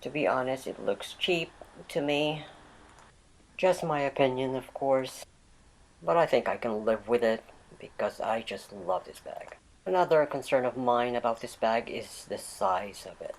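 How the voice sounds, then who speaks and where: A vlogger talks through a product unboxing in a raspy voice, roughened by a cold. The pitch sits in a middle range. Faint noise runs behind the recording. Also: lively intonation, unhurried, poor audio. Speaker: vlog host.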